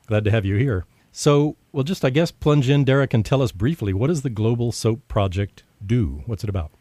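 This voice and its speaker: A man's voice: mid-range voice, fairly quick, mellow, dry recording. A man hosting a radio interview show, talking conversationally with frequent fillers. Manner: conversational, many fillers and restarts.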